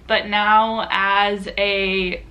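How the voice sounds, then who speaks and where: A woman's voice: medium pitch, little pitch movement, extremely slow, dull audio. A woman in her mid-twenties chatting casually to camera about the books she is reading, with plenty of filler words. Her throat is sore and her nose stuffy from allergies. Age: 20s. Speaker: book vlogger.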